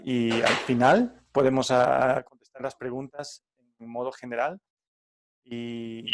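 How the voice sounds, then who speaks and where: A man from Ecuador giving friendly but halting logistics instructions on a video call, with many fillers. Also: mid-range voice. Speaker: tech support and co-host.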